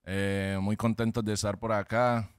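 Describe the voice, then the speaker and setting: A Colombian man, a rapper guest, answering interview questions in a relaxed, slangy way. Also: on the low side, normal speed, clear, resonant tone, no echo, studio quality.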